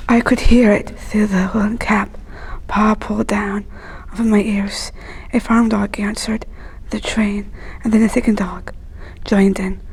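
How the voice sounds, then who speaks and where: A woman reading a children's story aloud in a hushed, breathy, whispery storytelling voice. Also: medium pitch, little pitch movement, measured pace, rough recording.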